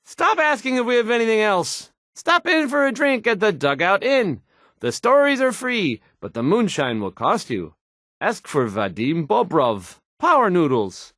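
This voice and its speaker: Casting: timid young game-world DJ, anxious and awkward, halting stammer, pitch jumping all over, dry sound. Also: male voice, very animated, a bit bright, no echo, exaggerated voice. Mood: nervous. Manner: stammering, hesitant, nervous, trailing off. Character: timid, awkward young male radio host, anxious and insecure.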